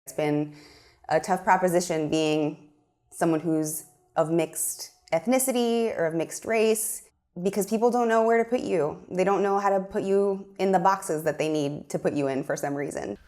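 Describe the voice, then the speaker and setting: A woman with a notably low voice talks through her ideas in a studio interview. She is a young adult from Miami, earnest and reflective, and she often pauses on an um. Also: a little mellow. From Miami, USA. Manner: thoughtful, earnest, with fillers. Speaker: filmmaker guest. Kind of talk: interview conversation.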